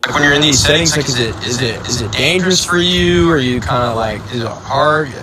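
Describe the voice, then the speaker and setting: Fast-talking young man interviewing. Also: a little high, a loud crowd. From Georgia, USA. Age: 20s. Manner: eager, quick questions.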